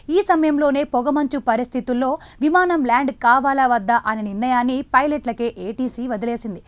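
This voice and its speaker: A woman news presenter, delivering scripted news narration in a dramatic, emphatic way. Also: high-pitched.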